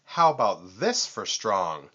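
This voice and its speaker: A man voicing a vain, boastful storybook vulture with a squawky, screechy voice and theatrical, drawn-out emphasis. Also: fairly low pitch, wildly swooping pitch, a bit bright, muffled recording, heavily acted. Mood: boastful, smug.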